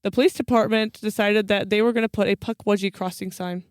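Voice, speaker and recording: A woman's voice: medium pitch, steady tone, fast talker, smooth, no echo, studio quality. A woman hosting a podcast, telling stories in an informal, wry, chatty way. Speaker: podcast host. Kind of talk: casual storytelling chat with co-host.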